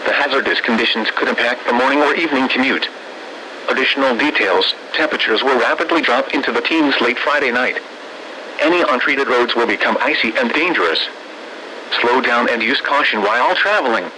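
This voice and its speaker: An automated male announcer reading a scripted weather bulletin in formal, measured, synthetic phrasing. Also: mid-range voice, bright, forceful tone. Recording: noisy weather radio broadcast.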